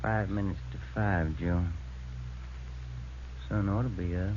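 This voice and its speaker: Plain-spoken cop partner, muffled radio. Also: male voice, deep voice, fairly even intonation, slow, a little mellow, a little hiss or hum. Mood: subdued. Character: dutiful male police detective, the lead's partner. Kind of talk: 1940s radio police drama acting. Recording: muffled vintage radio recording with music.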